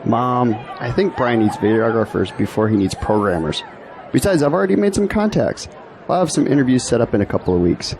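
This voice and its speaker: A man's voice: medium pitch, expressive, fairly quick, darkish tone, roomy sound, big-room sound, a faint crowd, a little hiss or hum, natural voice. A man playing an earnest young college graduate in an audio drama. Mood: casual, wry. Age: young adult. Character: earnest young male college graduate, later a weary diarist.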